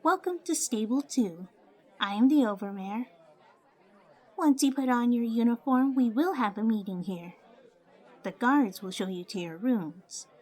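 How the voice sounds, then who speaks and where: A woman playing an authoritative older pony mare who runs a shelter, husky and formal, in a dramatised fan fiction. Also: a little high, lively intonation, measured pace, a little mellow, some chatter behind. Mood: formal, welcoming. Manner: formal, commanding.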